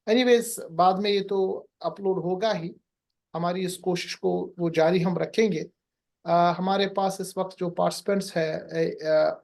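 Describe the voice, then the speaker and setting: High-voiced formal male webinar host. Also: darkish tone. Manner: formal, polite, mixes English words into Urdu. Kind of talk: online webinar Q&A.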